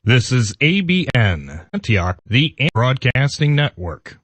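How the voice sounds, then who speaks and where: A male radio announcer with a lively, varied pitch reads scripted program notes. His delivery is that of a station presenter introducing rebroadcasts. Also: slow pace.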